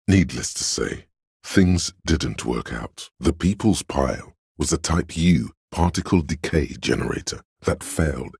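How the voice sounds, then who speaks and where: An erudite, all-knowing inner voice from a narrative video game. He is extremely deep and heavily husky, with a gravelly rumble. The delivery is measured and dryly sardonic, like scripted encyclopedia narration. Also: no echo, studio quality, full character voice.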